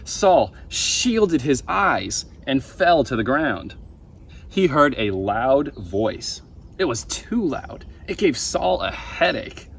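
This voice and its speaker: A young man reading a children's Bible story aloud in a deliberate, expressive way, with emphatic pauses. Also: mid-range voice, creaky, breathy edge. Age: young adult. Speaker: storybook reader for a camp story time. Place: outdoors on a wooden deck by a pond. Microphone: camera a few feet away. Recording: outdoor ambient noise.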